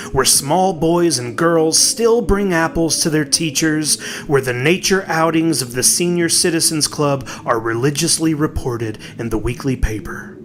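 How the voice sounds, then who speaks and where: A man narrating a horror story in a dramatic, deliberate, suspenseful way, with weighted pauses. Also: fairly high pitch, fairly bright tone. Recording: music bed behind the voice. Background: clearly audible music.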